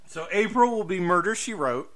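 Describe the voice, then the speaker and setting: A man's voice: high voice, lively intonation, normal speed, fairly bright tone, low-quality recording. A man co-hosting a podcast in casual promotional chat, jokey, exaggerated and rambling. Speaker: podcast host.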